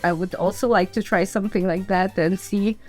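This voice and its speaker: A woman's voice: medium pitch, fairly even intonation, brisk, soft background music. A woman from Belarus and Ukraine, a podcast guest telling her story casually in an interview. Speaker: podcast guest, fitness entrepreneur.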